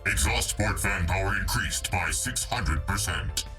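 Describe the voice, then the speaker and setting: A man voicing a deadpan robotic translator AI that speaks for a hamster pilot in a video game, stilted and literal. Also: sits low, a little slow, distant mic, faint music behind. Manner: deadpan, stilted, literal, third-person announcing.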